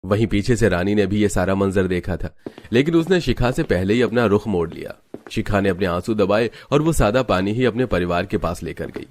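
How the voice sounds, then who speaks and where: A man's voice: fairly low pitch, brisk. A man reading scripted narration for a story.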